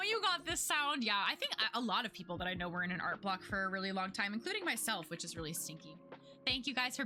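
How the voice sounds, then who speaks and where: A young woman streamer chatting casually to her viewers, full of "like" fillers and quick laughs, her voice getting worn out. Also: on the low side, sharp tone. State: losing her voice. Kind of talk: casual chat with live chat.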